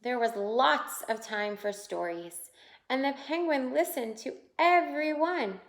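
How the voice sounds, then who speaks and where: A woman reading a picture book aloud to young children in an expressive way, pausing to point out the pictures. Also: high voice. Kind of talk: children's read-aloud storytelling. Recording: roomy, echoing indoor sound.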